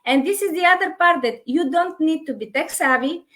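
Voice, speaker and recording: A woman with a Hungarian accent who runs an online business, talking in a halting way with many fillers and restarts. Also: sits high, a bit bright. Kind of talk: casual conversation.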